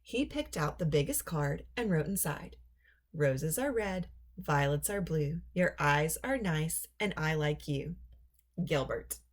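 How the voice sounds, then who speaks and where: A woman reading a children's story aloud in an expressive, deliberate storytelling manner. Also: deep voice. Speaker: storybook reader.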